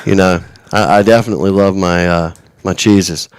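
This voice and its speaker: A man's voice: low-pitched, fairly even intonation, moderate pace, clean tone, dry recording. A man in his forties hosting a radio show, chatting casually, rambling with frequent restarts and chuckles. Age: 40s. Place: radio studio.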